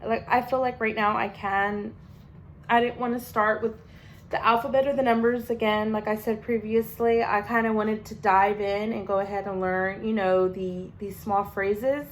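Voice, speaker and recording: A woman's voice: medium pitch, level tone, normal speed, slight background noise. A young adult woman, a language student, gives a casual spoken class presentation in a chatty way, full of fillers and restarts.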